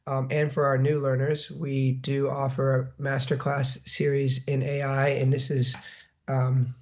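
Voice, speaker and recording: A man with a sore throat wrapping up a webinar as its host, giving information about the program in a steady way on a video call. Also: medium pitch, a little slow. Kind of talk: closing remarks to a webinar.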